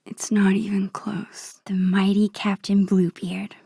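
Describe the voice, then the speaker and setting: Sentimental, shy teen girl, video game inner monologue. Hushed, husky-soft delivery, medium pitch, dry studio sound. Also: level tone, slow pace, no echo, heavily acted. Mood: wistful. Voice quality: soft, breathy, whispery. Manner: gentle, musing, talking to herself. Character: teenage girl, shy, wistful, nerdy and sentimental. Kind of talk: introspective game character monologue.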